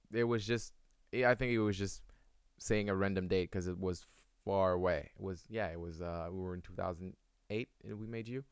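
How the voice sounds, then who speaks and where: A man's voice: low-pitched, clear, resonant tone, no room sound, studio quality. A young man whose first language is French, answering viewers' questions in a casual live-stream, rambling with many fillers and restarts.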